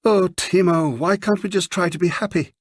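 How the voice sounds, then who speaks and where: A man voicing an impatient, wilful young wife in a storybook reading, with a brisk, pleading, insistent manner. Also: high-pitched, dry recording, studio quality, voice pushed up.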